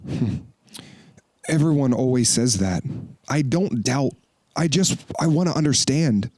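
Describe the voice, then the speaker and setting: A wary, questioning biblical disciple in an audio drama. His voice sits at a middle pitch with a dry, close sound. He speaks with earnest intensity. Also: expressive, unhurried, a little mellow, no room sound. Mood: defensive. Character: Thomas, wary, questioning male disciple and builder.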